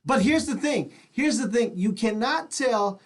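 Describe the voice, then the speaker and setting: A man's voice: very high-pitched, lively intonation, measured pace. A man co-hosting an online radio interview, chatting casually in an enthusiastic, slangy manner.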